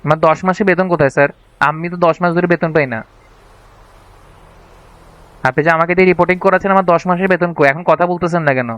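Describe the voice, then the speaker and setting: A man's voice: fairly high pitch, fairly even intonation, fast talker, long pauses, a bit bright, close to an everyday voice. A man playing an insistent, questioning TV reporter in an improvised video game roleplay. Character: pestering male TV reporter demanding unpaid salary.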